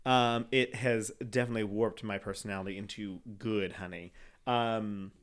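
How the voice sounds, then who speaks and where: A man's voice: lowish voice, fairly even intonation, moderate pace. A man co-hosting a casual podcast, teasing and laughing often between fillers. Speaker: podcast co-host. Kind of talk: casual chat.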